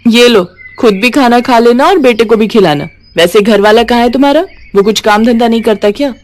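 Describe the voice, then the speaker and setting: A woman voicing a brisk, kind-hearted working woman from a city slum in a moral story. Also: on the high side, voice pushed up, close to an everyday voice. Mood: curious, kindly.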